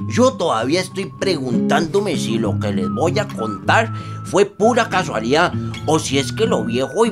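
An actor playing a folksy, wry rural storyteller with a Costa Rican accent, narrating a radio drama in a colloquial, teasing manner. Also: male voice, high-pitched, heavily acted. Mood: musing. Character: folksy male rural storyteller, wry banana-country old hand.

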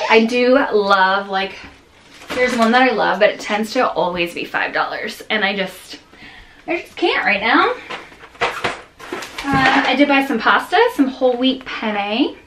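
Tired-sounding mom in her 30s rambling at the camera in her kitchen, fairly high voice, little hiss behind. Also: a bit bright. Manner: chatty, rambling with many restarts and fillers. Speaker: vlogger. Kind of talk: casual grocery haul chat. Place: home kitchen, indoors. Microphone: camera on a counter at close range.

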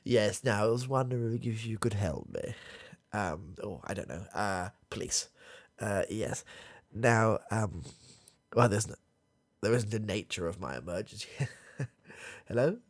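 Casting: young man as a rambling old granddad, low gravelly voice with lively swings, dry close sound. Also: no echo. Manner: rambling, halting, trailing off, chuckling. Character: doddering old granddad, confused, creepy, rambling. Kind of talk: comedy ASMR roleplay. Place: small dark room, indoors. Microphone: close studio mic, camera near face.

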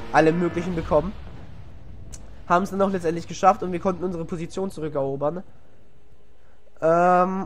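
A man with a cold, casually narrating a replay of his strategy game match, rambling with many fillers and self-corrections. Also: high voice. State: has a cold, coughs. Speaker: gamer commenting on a replay. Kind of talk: casual gameplay voice-over commentary. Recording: noisy home recording.

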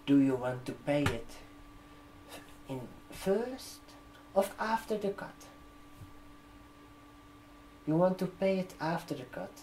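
A man in his twenties doing an ASMR role-play as a barber shop receptionist, talking to a customer in a halting, repetitive way. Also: a little high, animated, dark tone, slight background noise. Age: 20s. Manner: slow, halting, repeating words while typing. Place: room with sofas, indoors. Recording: roomy echo, keyboard typing. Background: computer keyboard typing.

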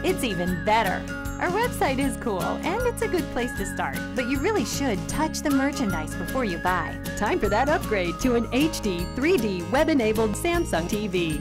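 A woman reading a scripted store commercial in a bright, sing-song way. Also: fairly high pitch. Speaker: ad voice-over.

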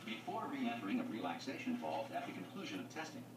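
A man voicing a calm, pre-recorded facility announcer in a video game, measured and formal. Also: on the low side, noisy background. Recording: game audio from TV speaker, roomy and distant.

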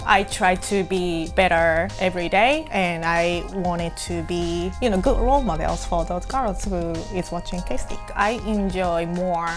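Japanese-accented woman guest, soft-spoken and earnest, lapel mic, faint music behind. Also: on the low side, measured pace, few pauses. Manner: soft-spoken, earnest, with small grammar slips. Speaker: guest, cook. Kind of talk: casual chat over dinner. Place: small living room set, indoors.

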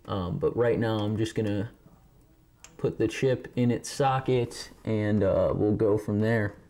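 Guy with a deepish voice walking thru a repair casually, camera mic in a room, some noise behind him. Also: measured pace, dark tone. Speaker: host of pinball repair videos. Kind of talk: casual hands-on repair tutorial. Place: indoor game room among arcade machines. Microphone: camera on tripod, a short distance away. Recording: camera mic with clear background noise, clicks.

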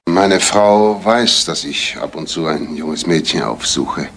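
An actor playing an earnest married man making a confession in a measured, formal way, with a husky voice, in a crime radio play. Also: extremely low pitch, a bit bright, exaggerated voice. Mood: earnest, restrained.

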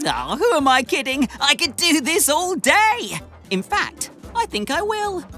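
A bubbly young male cartoon engine, helpful and playful. The high voice swings up and down with excitement at an unhurried pace, over clear background music. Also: exaggerated voice. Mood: cheerful, eager. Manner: bubbly, exclamatory. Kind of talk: children's animated series dialogue.

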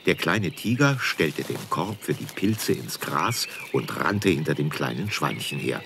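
A man with a gravelly voice narrating a scripted children's story. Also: rumbling low, sing-song, measured pace, noisy background.

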